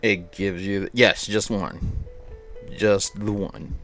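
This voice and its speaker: A man's voice: lowish voice, steady tone, slow pace, a bit bright. A man doing casual live game-stream commentary, rambling and repeating himself. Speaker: game streamer at a charity event. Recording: music playing behind the voice. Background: game music.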